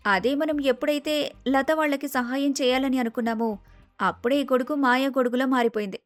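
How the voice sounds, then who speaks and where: A woman voices a kind young daughter-in-law in a bedtime story, earnest and respectful. Her pitch is fairly high, over quiet music. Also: steady tone, brisk, dry recording, natural voice. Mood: earnest, reflective. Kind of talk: storybook character dialogue.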